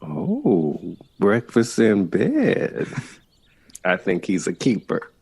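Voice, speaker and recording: Gravelly, slow-spoken male lover. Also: fairly low pitch, wildly swooping pitch, darkish tone, exaggerated voice. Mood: sleepy, amused. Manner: affectionate, dryly playful. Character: devoted, teasing male lover of a jazz composer. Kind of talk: audio drama acting.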